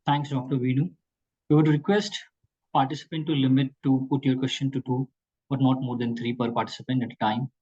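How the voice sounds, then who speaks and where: A man's voice: medium pitch, steady tone, brisk, warm tone, husky. A man moderating a conference call, formally introducing questioners, with dull sound.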